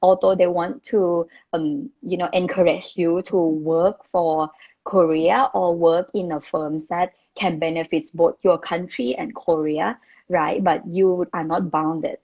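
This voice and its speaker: A woman's voice: lowish voice, measured pace, slightly rough, muffled recording. A young woman from Thailand, not an English speaker, chatting casually as a guest with plenty of fillers. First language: Thai. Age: young adult. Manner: chatty, relaxed, many fillers. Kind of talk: casual chat.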